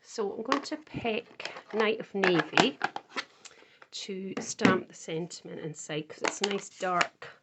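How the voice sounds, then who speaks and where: A woman with a croaky voice, casually talking through a card-making tutorial and thinking aloud as she works. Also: mid-range voice, slow, heavy background noise. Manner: chatty, thinks aloud while working.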